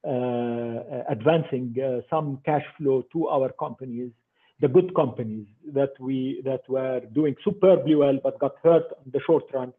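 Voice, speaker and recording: A man's voice: medium pitch, moderate pace. Older man on a tinny call line. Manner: emphatic, repeats words, many fillers. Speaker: investor guest. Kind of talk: interview over a remote call. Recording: tinny phone-like call audio.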